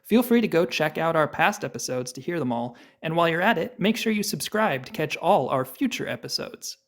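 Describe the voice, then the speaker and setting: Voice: medium pitch, expressive, fairly quick. Podcast host reading a scripted sign-off. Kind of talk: scripted outro addressed to listeners.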